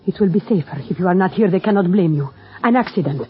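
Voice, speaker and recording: A woman's voice: on the low side, lively intonation, fast talker, close to an everyday voice. Scorned prima donna played by a woman: proud, bitter, commanding, melodramatic 1940s radio delivery. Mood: cold, commanding. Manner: imperious, melodramatic. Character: proud, bitter, scorned female opera diva. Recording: dull vintage radio recording, faint music.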